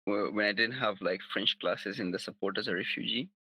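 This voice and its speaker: A man from Afghanistan whose first language is Pashto, answering interview questions in a halting way with restarts. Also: on the low side, pushed and bright, breathy edge, low-quality recording. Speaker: app co-founder, guest.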